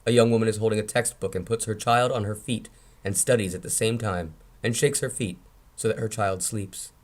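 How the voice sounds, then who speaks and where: A man's voice: fairly low pitch, fairly even intonation, a little fast. A man reading screenplay scene descriptions as narrator in a table read.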